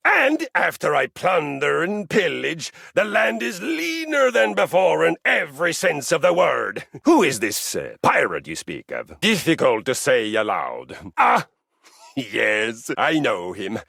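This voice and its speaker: An actor playing a boastful, honor-obsessed male exercise machine who talks like a Norse warrior, with a Norwegian accent and a gravelly, husky, grandiose delivery. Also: very animated, unhurried, sharp tone, no room sound, studio quality, full character voice. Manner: pompous, theatrical swings in pitch. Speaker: dating game character. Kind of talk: video game voice acting.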